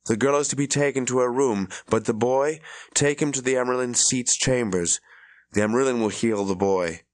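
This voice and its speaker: A man voicing a calm, composed woman sorceress who gives measured orders. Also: medium pitch, pitched above the performer's own voice, lightly acted.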